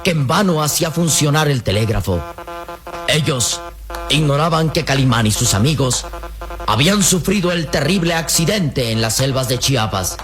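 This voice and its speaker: A man narrating a radio serial in a solemn, measured, suspenseful and dramatic scripted style. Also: mid-range voice, animated, music in the background.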